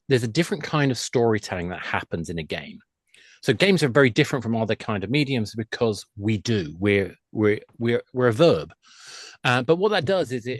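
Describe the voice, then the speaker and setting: A man with a mid-pitched voice talks as an expert guest on a podcast. He is enthusiastic and emphatic, rephrasing as he explains. The sound is dry, with very little room in it. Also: no room sound. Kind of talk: conversational explanation.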